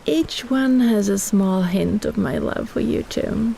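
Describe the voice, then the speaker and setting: Woman as a slow, purring, possessive kraken. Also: medium pitch, creaky, dark tone, slight background noise. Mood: satisfied, doting. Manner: teasing, purring, drawn-out condescending coaxing. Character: possessive, teasing, scheming female kraken. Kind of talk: roleplay audio drama, intimate ASMR.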